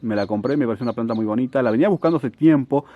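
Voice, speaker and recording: A man's voice: medium pitch, narrow, tinny audio. A man from in a casual, chatty show-and-tell, often asking "¿no?" as he talks. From Salta, Argentina. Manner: chatty, unhurried, frequent "¿no?" asides. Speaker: succulent grower, channel host.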